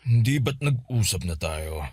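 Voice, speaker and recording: A man's voice: fairly low pitch, expressive, measured pace, a little mellow, husky, dry recording, studio quality. A man playing an abusive, hot-tempered middle-aged Filipino uncle in a radio drama, scolding and barking threats. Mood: furious.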